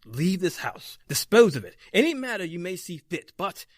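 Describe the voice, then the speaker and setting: A man playing a grave, authoritative occult doctor in an old-time radio horror drama, speaking emphatically and deliberately. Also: high-pitched, big pitch swings, no echo, studio quality. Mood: grave, urgent. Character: grave, authoritative male doctor battling the supernatural.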